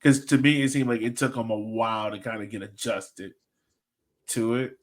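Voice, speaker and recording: A man's voice: mid-range voice, steady tone, normal speed. Male sports podcast co-host, mid-range voice, informal and joking delivery. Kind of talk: casual sports chat, match breakdown.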